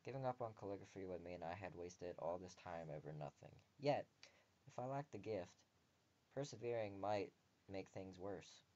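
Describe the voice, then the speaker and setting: A man reading a visual novel aloud and breaking off for crude, joking asides, sometimes muttered quietly. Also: deep voice, moderate pace, highs cut off.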